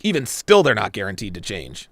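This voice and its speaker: A man's voice: lowish voice, huge pitch swings, a little fast, background noise. A man hosting a live reaction stream, blunt and crude, swearing and talking to his chat viewers. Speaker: podcast host reacting to a clip.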